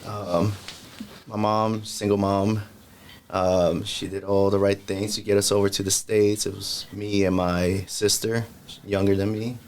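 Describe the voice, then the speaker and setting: A young man from El Salvador answers interview questions in a plain, measured way with a lowish voice. He pauses now and then with fillers. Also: level tone. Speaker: guest.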